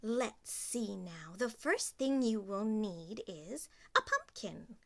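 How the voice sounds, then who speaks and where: Woman reader doing a slow, coaxing old fairy godmother. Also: mid-range voice, lively intonation, gravelly. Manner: warm, fussy, coaxing. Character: kindly little fairy godmother, older woman.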